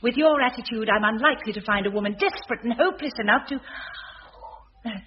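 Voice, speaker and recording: An actress playing a brisk, dryly exasperated female marriage-agency clerk in a radio sitcom. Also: fairly high pitch, natural voice. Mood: businesslike. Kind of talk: 1980s radio sitcom acting. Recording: dull, hissy old radio broadcast.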